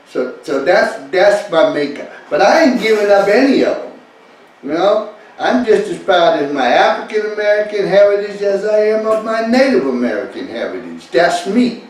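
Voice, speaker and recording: Man, informal rambling talk, emphatic repetition, fairly high voice, distant microphone, faint noise. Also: very echoey. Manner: repeats phrases emphatically, chuckling often. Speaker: speaker leading a talk.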